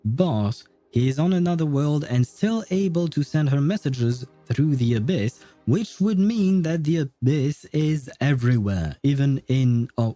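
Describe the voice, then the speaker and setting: A man with a very dark, soft-edged voice at an average pitch chats through a game livestream. He is opinionated and talkative, speaking casually over background music. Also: no echo. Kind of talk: casual livestream commentary while playing a game.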